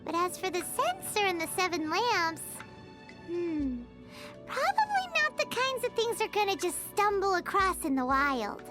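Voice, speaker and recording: A woman voicing a tiny, cheeky, childlike fairy companion in a video game, squeaky and exclamatory. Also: big pitch swings, unhurried, music in the background, exaggerated voice. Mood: puzzled. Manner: exclamatory, refers to self in third person.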